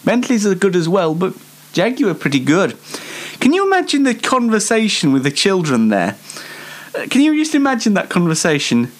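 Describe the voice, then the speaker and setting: A man's voice: high-pitched, huge pitch swings, normal speed. A British man hosting an unscripted comic news show in a rambling, self-mocking way. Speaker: host of a comedy news show.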